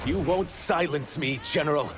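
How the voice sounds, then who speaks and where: A man voicing a proud, defiant reptilian fugitive warrior in a fighting game, speaking gravely and formally. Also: fairly high pitch, normal speed, natural voice. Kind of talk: cinematic fighting game acting. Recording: loud cinematic music behind.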